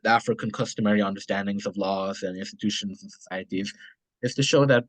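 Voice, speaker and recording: A man's voice: lowish voice, steady tone, fast talker, no echo. A man from Ghana giving an earnest academic lecture, with frequent restarts.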